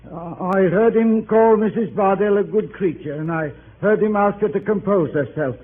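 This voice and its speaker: Tinny, lightly hissing old radio, and a man playing a nervous gentleman under questioning. He speaks in a high voice, stammering and reluctant. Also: moderate pace, natural voice. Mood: nervous, hesitant. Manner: hesitant, stammering. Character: nervous, flustered gentleman witness, reluctant to answer. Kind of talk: 1930s radio acting. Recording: tinny, hissy 1930s radio broadcast.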